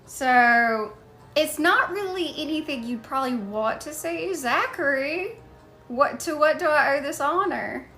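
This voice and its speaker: A woman's voice: high-pitched, animated, unhurried, sharp tone, soft background music. A woman live streaming, chatting casually and thanking viewers by name as she goes.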